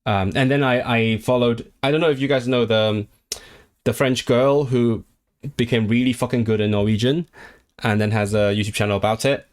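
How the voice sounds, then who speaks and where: A man's voice: mid-range voice, fairly even intonation, normal speed. A man streaming casually about learning languages, talking in a rambling way with many restarts and fillers, eager and enthusiastic about the subject. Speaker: language livestreamer. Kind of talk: casual livestream chat, reading viewer comments.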